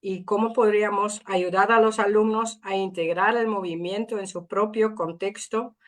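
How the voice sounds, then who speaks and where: A woman's voice: medium pitch, steady tone, moderate pace. A middle-aged woman with a Dutch accent, Spanish not her first language, giving an academic talk carefully with frequent fillers and restarts. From Netherlands. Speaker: invited speaker.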